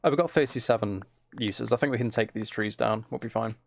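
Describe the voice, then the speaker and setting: A man's voice: medium pitch, fairly quick, creaky, a little hiss or hum. A man chatting casually and teasingly to his viewers while playing a game on a livestream.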